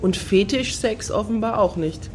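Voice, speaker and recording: A woman's voice: medium pitch, normal speed, crowd noise, close to an everyday voice. An actress playing a curious, dryly casual woman clubgoer in a satirical radio play. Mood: dry interest.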